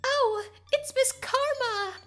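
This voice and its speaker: Bubbly, gushing market girl, extremely high and squeaky, music underneath. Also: takes it slowly, bright, forceful tone, heavily acted. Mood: adoring. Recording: music behind the voice.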